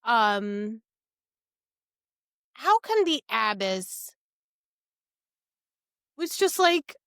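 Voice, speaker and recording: A woman's voice: a little high, big pitch swings, very slow, a bit bright, clean tone, no room sound, clean studio sound. A woman co-hosting a book podcast, chatting and reacting informally with giggly interjections. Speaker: podcast co-host. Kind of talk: casual chat reacting to a book.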